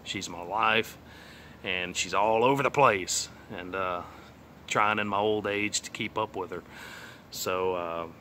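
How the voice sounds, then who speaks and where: Man, late 30s, texas accent, lowish pitch, forceful tone, rambling testimony, outdoors, faint background hiss. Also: slow. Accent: Texan. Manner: rambling, plainspoken, many fillers and trailing asides. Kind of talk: casual personal testimony. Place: outdoors on a sunny day.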